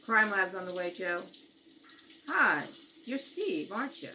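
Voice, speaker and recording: A woman's voice: mid-range voice, sing-song, unhurried, bright, forceful tone, heavily acted. A woman playing a practical, dry and direct policewoman in a radio drama. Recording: homemade kitchen-table recording with background noise.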